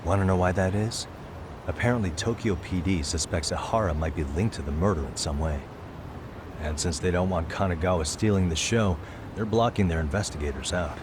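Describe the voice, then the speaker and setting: An actor playing a laid-back, sharp male private detective in a video game, talking in a casual, conversational way. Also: low-pitched, fairly quick, background noise, close to an everyday voice. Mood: casual, businesslike.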